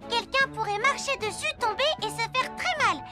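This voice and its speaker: An earnest little cartoon girl cadet, extremely high in pitch. She instructs like a bossy small presenter over loud children's music. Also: moderate pace. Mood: bright, instructive. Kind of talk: French children's cartoon dubbing.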